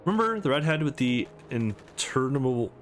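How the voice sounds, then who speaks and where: A man's voice: mid-range voice, sing-song, brisk, a bit bright, slight background noise. A man voicing an exasperated sister from a visual novel, bluntly teasing her brother.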